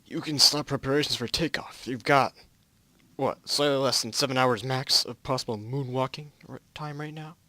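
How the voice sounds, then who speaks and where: A man playing a careful, urgent mission control officer in an amateur story reading, giving halting instructions. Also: medium pitch, fairly even intonation, bright, forceful tone, no room sound, lightly acted. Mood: steady, instructing. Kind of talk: amateur group fan fiction reading.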